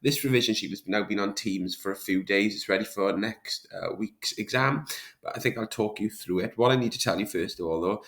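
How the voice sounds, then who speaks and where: A male maths teacher with a hoarse voice from a sore throat, talking students through a revision sheet step by step. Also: lowish voice, a little fast, fairly bright tone. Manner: step-by-step explanations, checking with "right?". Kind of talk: recorded revision walkthrough.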